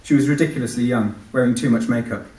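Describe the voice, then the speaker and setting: A man's voice: mid-range voice, fast, dark tone. A man, an author giving a live reading from his novel. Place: live event in a large venue. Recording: echoey hall, distant microphone.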